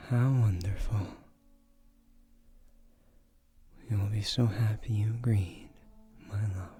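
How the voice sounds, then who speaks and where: An ancient male guardian spirit , acted by a man, woos in a fantasy audio roleplay. The voice is fairly low and very unhurried, breathy and soothing, with formal, courtly tenderness. Soft music plays faintly underneath. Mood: delighted, tender. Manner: formal, measured, soothing, seductive, often breathy near-whisper. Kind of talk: romantic scripted audio roleplay.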